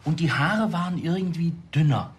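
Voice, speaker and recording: An actor playing a polite but uneasy singer and witness in a TV drama, speaking hesitantly. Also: male voice, on the high side, moderate pace, slightly rough. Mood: polite, uncertain.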